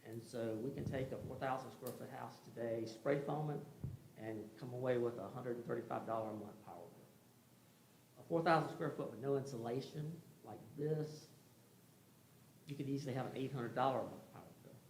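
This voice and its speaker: A man's voice: medium pitch, normal speed, darkish tone. A man giving an informal, rambling presentation to a commission, explaining things in a conversational, folksy way as a contractor would. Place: indoor meeting room. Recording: echoey room with faint background noise.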